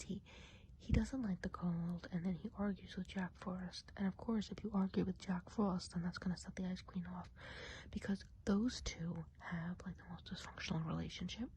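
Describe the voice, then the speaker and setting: A woman speaks in a soft, mellow whisper, fairly low in pitch, as a gossipy witch in an ASMR roleplay. Her delivery is casual and confiding, wandering from aside to aside. A faint background noise sits behind her voice. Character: friendly, gossipy witch of the enchanted woods.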